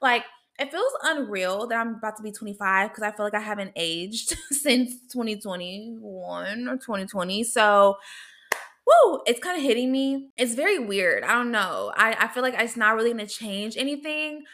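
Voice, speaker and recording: A woman's voice: a little high, lively intonation, normal speed, a bit bright. A woman, hosting her own podcast in an upbeat, chatty way and laughing often. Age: 20s. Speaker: podcast host. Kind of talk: casual solo podcast chat.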